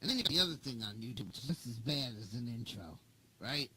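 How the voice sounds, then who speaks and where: A man's voice: mid-range voice, expressive, fast talker, heavy background noise. A man who hosts a channel, ranting in a casual monologue, swearing a lot and repeating himself. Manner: profane, repetitive ranting. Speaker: channel host.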